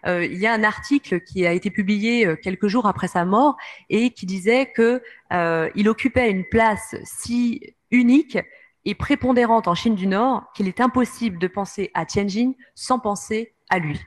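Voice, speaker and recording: A woman's voice: medium pitch, normal speed. A woman with a French accent giving a history lecture from slides, hesitating often with fillers. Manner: many hesitations, frequent "euh".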